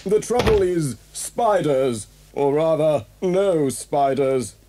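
A man's voice: a little high, lively intonation, mellow. A man playing an anxious, prim hotel owner in an audio drama, speaking formally and carefully. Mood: worried. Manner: formal, fussy, measured. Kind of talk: 1980s children's audio drama acting.

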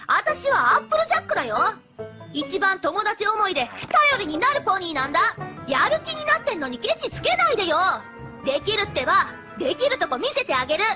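A woman's voice: very high voice, big pitch swings, fairly quick, over music. A woman voicing a confident, hardworking young female farm pony in a cartoon dub, plain-spoken and determined.

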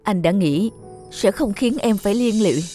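A man voicing a remorseful, gentle middle-aged lover in a melodramatic animated film, earnest and apologetic. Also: high-pitched, moderate pace, faint music behind.

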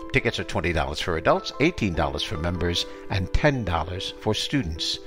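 An older American man hosting an arts podcast, announcing and interviewing. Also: deep voice.

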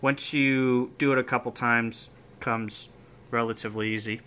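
A man giving an informal hands-on equipment demonstration as a hobbyist, explaining step by step in short, halting phrases. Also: mid-range voice, steady tone, bright, forceful tone, a little hiss or hum. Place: indoor room with equipment on folding tables. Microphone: handheld camera or phone, operator behind it.

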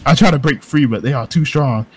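A man's voice: mid-range voice, fairly quick, darkish tone, faint music behind. A man reading a visual novel aloud as a let's-play host, with crude jokes, swearing and exclamations. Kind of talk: casual gaming commentary with read-aloud narration.

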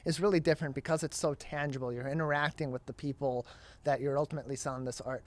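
A man's voice: medium pitch, fairly even intonation, quick pace. A young American man hosting an interview and promoting events, speaking into a close studio microphone. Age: young adult. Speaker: talk show host. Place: indoor studio. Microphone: broadcast microphone on a boom arm, close.

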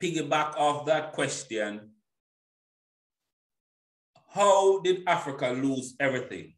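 A man's voice: on the high side, animated, slow. Emphatic male host, roomy echoing sound. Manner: emphatic, repeating himself for stress. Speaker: interview host. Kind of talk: casual, opinionated conversation. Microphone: boom-arm microphone, headphones. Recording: roomy, echoing home microphone.

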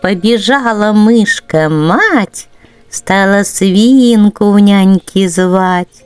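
A woman's voice: medium pitch, drawn-out words, clean tone, no room sound, faint music behind. A woman storyteller narrating children's verse expressively.

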